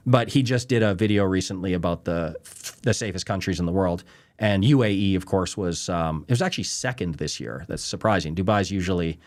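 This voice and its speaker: Low-voiced man casually explaining trading. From Canada. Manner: conversational, emphatic, lots of "right?" and "you know". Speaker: trading show host answering viewer questions.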